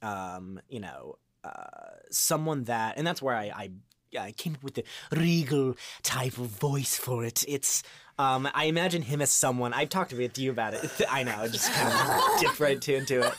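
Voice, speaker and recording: A man's voice: mid-range voice, animated, normal speed, fairly bright tone, dry recording. A man speaking as a voice actor guest in a casual podcast interview, rambling and often restarting his thoughts.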